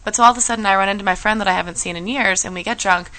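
Brief: a young female host with a bright, animated delivery who talks fast and tells stories in a giggly way. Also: medium pitch, rough recording. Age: young adult. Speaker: show host. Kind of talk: casual chat.

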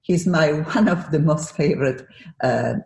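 A woman's voice: low voice, measured pace, creaky, darkish tone. Woman, Polish accent, radio host, effusive chatter, echoey room. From Poland. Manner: effusive, with frequent laughs and some broken English. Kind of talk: casual radio interview. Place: radio studio.

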